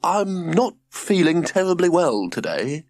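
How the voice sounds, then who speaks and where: A man's voice: fairly high pitch, very animated, measured pace, darkish tone, no echo, pitched above the performer's own voice. A man voicing an eccentric, fussy father in an audiobook, hesitant yet over-enthusiastic. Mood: evasive.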